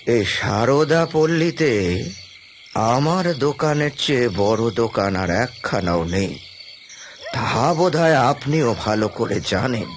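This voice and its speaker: An actor playing a grave, proud, superstitious old shopkeeper in an audio drama, with a gravelly voice and a deliberate, ominous manner. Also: male voice, mid-range voice, a little hiss or hum. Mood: proud, affronted. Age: older. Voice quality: gravelly, creaky.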